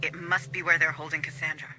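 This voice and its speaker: An actor playing a composed military officer who guides a marine squad in a video game, instructive and serious. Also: male voice, on the high side, level tone, brisk, bright, forceful tone, slight background noise. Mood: grave. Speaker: mission guide.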